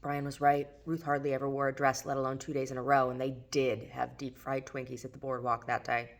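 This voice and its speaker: Low-voiced woman reading a novel. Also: little pitch movement, a little fast. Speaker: author reading own novel aloud. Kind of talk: audiobook narration of fiction.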